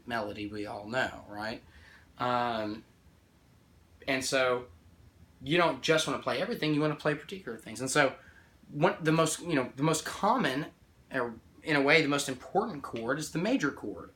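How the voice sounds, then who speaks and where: A man's voice: mid-range voice, fairly bright tone, a little hiss or hum. Easygoing man teaching music theory. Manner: easygoing, often checks in with "right?"; restarts and corrects himself mid-count. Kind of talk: casual guitar and music theory lesson. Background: guitar playing along.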